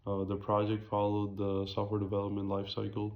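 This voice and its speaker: A young man presents a student project in a raspy voice with a completely flat intonation. He speaks haltingly and corrects himself as he goes, on a slightly muffled, lo-fi recording. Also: fairly low pitch. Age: young adult. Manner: hesitant, halting, self-correcting.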